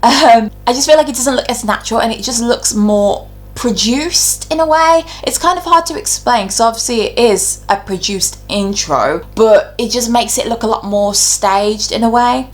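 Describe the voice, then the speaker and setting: A woman's voice: fairly high pitch, moderate pace. A woman hosting a YouTube video, giving casual, opinionated fan commentary in an informal, chatty way. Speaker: YouTube host.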